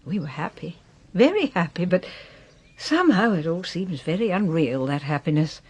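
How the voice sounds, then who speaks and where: An actress playing a proud, wistful English widow in her sixties who is ailing, in a solemn, measured radio drama style. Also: on the low side, very animated, darkish tone, slightly rough. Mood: wistful, weary. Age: 60s.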